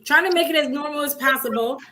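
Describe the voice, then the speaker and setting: A woman from Haiti, raised in Miami, telling her story as a guest, earnest and steady. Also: high voice, fast, slight background noise. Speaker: guest, coach. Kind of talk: casual interview.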